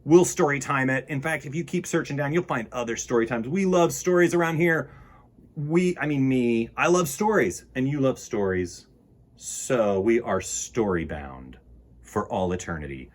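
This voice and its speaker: A man's voice: mid-range voice, expressive, moderate pace. A man hosting a children's storytime, reading and chatting to young listeners in a playful, whimsical, rambling way. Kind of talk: picture-book reading and playful chat to children.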